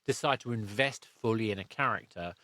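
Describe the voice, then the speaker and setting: A man's voice: fairly low pitch, brisk, sharp tone, no room sound. A man hosting a casual interview, speaking in a reflective, explanatory way and often rephrasing himself as he works through a point. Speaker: podcast host and interviewer. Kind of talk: casual, discursive interview conversation.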